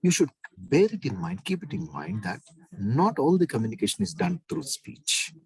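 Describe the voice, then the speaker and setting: A man with a deep bass voice, lecturing an online class in an emphatic way and repeating key phrases. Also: very animated, normal speed, gravelly, husky.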